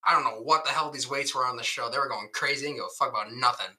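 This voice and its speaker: A man's voice: medium pitch, racing. A man co-hosting a podcast, casually recapping with frequent laughs and plenty of swearing. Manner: laughs often, swears freely, animated recapping. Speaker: podcast co-host. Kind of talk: casual chat.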